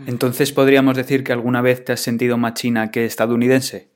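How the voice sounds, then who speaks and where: A man asking interview questions in a measured, deliberate way. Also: medium pitch, completely flat delivery.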